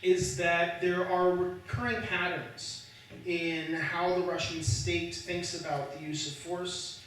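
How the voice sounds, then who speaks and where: A man's voice: high-pitched, steady tone, slow pace, fairly bright tone, a little hiss or hum. A male historian speaking as a guest at an academic panel, hesitant with many fillers and restarts, dry humor. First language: Slovak spoken at home as a child. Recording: echoey room, far from the mic.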